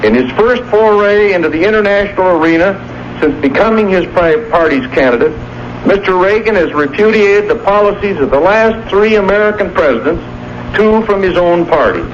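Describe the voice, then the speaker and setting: High-voiced man reporting the news. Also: animated, normal speed. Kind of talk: scripted political report. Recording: dull old radio, faint noise.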